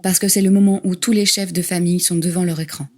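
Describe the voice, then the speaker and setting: A woman narrating audio fiction as one of a chorus, soft and intimate, with a husky voice. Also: lowish voice, steady tone, fast, lightly acted.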